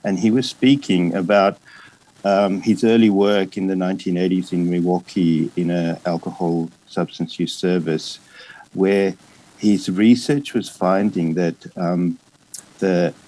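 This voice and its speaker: Man, fifties, Australian accent, low-pitched, fairly monotone, hesitant and halting, academic lecture. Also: poor audio. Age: 50s. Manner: hesitant, halting delivery with frequent pauses and broken-off words. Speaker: psychiatrist and addiction specialist giving a lecture.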